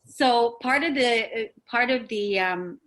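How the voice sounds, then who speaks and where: A woman hosting a discussion show in a conversational, somewhat halting and rambling way. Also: fairly high pitch, fairly bright tone. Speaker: talk show host.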